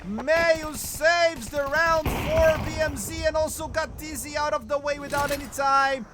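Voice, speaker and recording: A man from Brazil with a Brazilian Portuguese accent giving excitable live commentary on a shooter match, scolding players and chatting with viewers. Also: extremely high pitch, unhurried, music in the background. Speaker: esports streamer commentator. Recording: loud gunfire game audio behind. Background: game gunfire and clicks.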